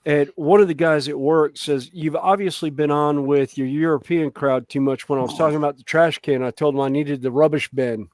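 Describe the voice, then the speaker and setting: A man from the Deep South hosting a casual group chat and telling laid-back anecdotes. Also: steady tone, fairly quick, hardly any pauses, darkish tone, no room sound. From American Deep South.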